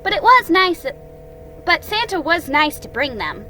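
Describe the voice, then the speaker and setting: A woman's voice: very high-pitched, very animated, measured pace, pushed and bright, soft background music, voice pushed up, heavily acted, poor audio. A woman voicing a young, cheerful kangaroo in a childlike, candid storybook manner.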